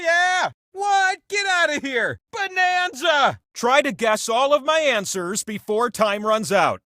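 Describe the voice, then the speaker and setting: A man voicing an excitable, grandiose talking prize wheel that hosts a video game show in a playful, theatrical, mock-pompous manner. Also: extremely high pitch, no room sound, studio quality, exaggerated voice. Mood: ecstatic. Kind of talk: animated video game announcer lines.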